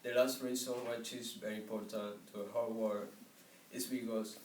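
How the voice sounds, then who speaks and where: Low, flat-toned man presenting. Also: brisk. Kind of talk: prepared presentation. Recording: echoey room, distant mic, faint noise.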